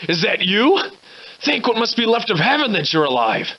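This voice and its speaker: A man's voice: high-pitched, sing-song, moderate pace, exaggerated voice. A man voicing a surly, hard-drinking, grandiloquent survivor in a video game, with florid old-fashioned diction, in slightly muffled audio. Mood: urgent relief. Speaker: video game protagonist voice actor. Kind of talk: theatrical video game character dialogue.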